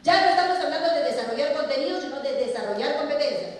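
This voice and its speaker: A woman's voice: high-pitched, lively intonation, fast talker. A middle-aged Peruvian woman with a Peruvian Spanish accent, giving a talk to teachers and often asking questions and answering them herself. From Peru. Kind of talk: lecture to a hall of teachers. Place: large lecture hall. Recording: echoey hall recorded from far off.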